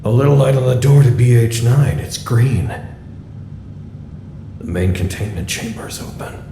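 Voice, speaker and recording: A man's voice: mid-range voice, lively intonation, moderate pace, vocal fry. A man playing a frantic, rambling facility worker in an audio drama, searching and talking theatrically to himself. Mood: anxious. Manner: theatrical, rambling, talks to imagined listeners. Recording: echoey room with faint noise.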